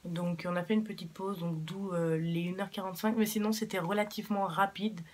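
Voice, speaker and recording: A woman's voice: on the low side, steady tone, a little fast, a little mellow. Chatty young woman vlogger. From Cuba. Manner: chatty, frank, swears casually, many fillers. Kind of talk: casual chat to camera.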